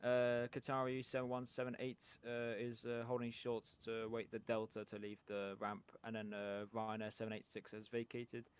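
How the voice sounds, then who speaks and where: Male controller, flight-sim radio calls; smooth, clear tone, flat delivery, halting with frequent pauses. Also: mid-range voice. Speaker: air traffic controller in an online flight simulation game. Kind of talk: radio traffic control phraseology.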